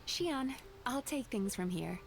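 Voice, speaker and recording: Casting: gracious game spirit hostess, composed and courteous, fairly high voice, faint music underneath. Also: female voice, expressive, slow, creaky, full character voice. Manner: polite, poised. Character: composed, gracious young woman dream-eater spirit innkeeper.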